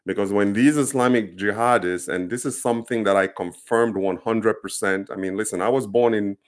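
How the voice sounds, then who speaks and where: A man's voice: on the low side, a little fast. A Nigerian man talking casually to camera, explaining things earnestly, with plenty of ums and restarts. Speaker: commentator.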